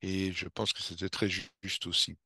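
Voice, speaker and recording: A man from Belgium, a guest telling his story in a relaxed, explanatory way in a podcast interview. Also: deep voice, normal speed, vocal fry, dry recording, clean studio sound. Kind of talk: conversational interview answer.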